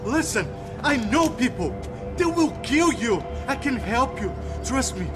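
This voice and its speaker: An actor playing a cowardly, desperate doctor, begging in panic in a video game cutscene. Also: male voice, very high-pitched, very animated, measured pace, full character voice. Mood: desperate pleading. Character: cowardly, desperate, pleading male crooked doctor. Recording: loud music over the dialogue.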